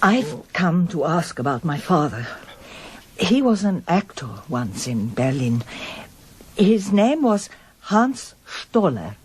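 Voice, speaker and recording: An actress playing an earnest, guarded daughter in a radio drama, probing with restrained, insistent questions. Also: female voice, fairly low pitch, very animated, slow pace, a little mellow, breathy edge, heavily acted. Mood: hesitant, earnest.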